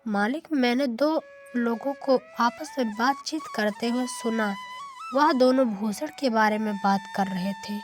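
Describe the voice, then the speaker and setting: A woman's voice: a little high, unhurried, music in the background, lightly acted. A woman voicing an obsequious, cowardly young manservant in a cartoon story. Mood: conspiratorial.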